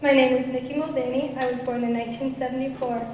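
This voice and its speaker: A woman's voice: high voice, steady tone, fast, darkish tone, slight background noise. A woman reading a prepared speech formally to an audience, heard from a distant mic in an echoey hall. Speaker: student presenter.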